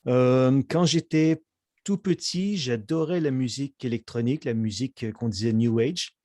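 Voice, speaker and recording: Musician guest, middle-aged man, mid-pitched, hesitant casual talk, dry recording. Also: moderate pace, darkish tone, no room sound. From Quebec, Canada. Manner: hesitant, many fillers and restarts. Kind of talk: casual interview chat.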